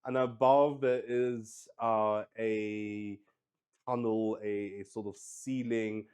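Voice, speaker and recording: A man's voice: fairly low pitch, fairly even intonation, takes it slowly, darkish tone, clean tone. A man improvising narration for a solo tabletop roleplaying game, thinking aloud hesitantly and often repeating his words. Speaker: solo roleplay host and player.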